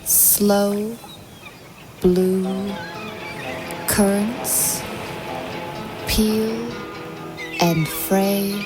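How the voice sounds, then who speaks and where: A woman reading poems slowly, drawing out one word at a time. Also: mid-range voice. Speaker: poetry reader. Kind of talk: slow poetry reading over a soundscape. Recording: voice over loud music bed.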